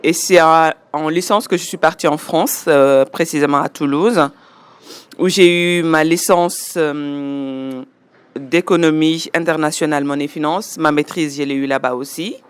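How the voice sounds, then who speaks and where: Smooth-voiced woman in her forties. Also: low-pitched, fairly even intonation. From Senegal. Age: 40s. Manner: measured, listing details step by step. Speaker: interviewee. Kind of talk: personal testimony.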